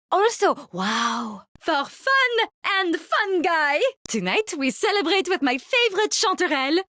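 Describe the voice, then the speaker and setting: An actress playing a giddy, macabre mad-scientist fungus doctor in a video game, theatrical and genteel, with a French accent. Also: female voice, high-pitched, a bit bright, no room sound, studio quality, full character voice. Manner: theatrical, gleefully morbid, genteel wording. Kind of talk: video game hero voice lines.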